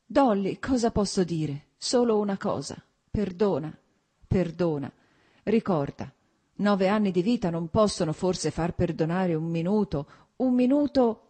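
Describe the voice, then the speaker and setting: A woman's voice: fairly low pitch, sing-song, normal speed, warm tone, breathy edge, voice pushed up, exaggerated voice. A woman voicing a contrite, timid unfaithful husband who pleads tremulously in an audiobook. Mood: pleading remorse. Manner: tremulous, imploring.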